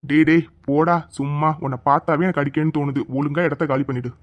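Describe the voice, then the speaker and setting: A performer voicing a bold young village hero who speaks in slow, stern threats. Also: mid-range voice, steady tone, no room sound, highs cut off, lightly acted.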